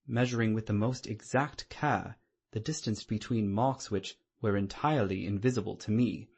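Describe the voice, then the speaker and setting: Casting: male storyteller, lowish pitch, slightly husky, for scripted classic-novel narration. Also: darkish tone. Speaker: audiobook narrator.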